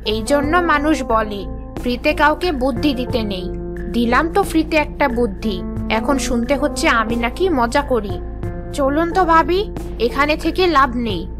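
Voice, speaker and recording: A woman's voice: high voice, expressive, a little slow. A woman voicing a mocking, mischievous village neighbour in a children's fairy-tale cartoon. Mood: feigned offence. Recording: music behind the voice.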